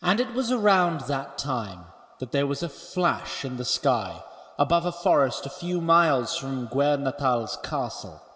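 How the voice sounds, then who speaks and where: A man's voice: medium pitch, very animated, a little slow, a bit bright, in a large hall. A man narrating a story in a scripted way, with wry, playful asides.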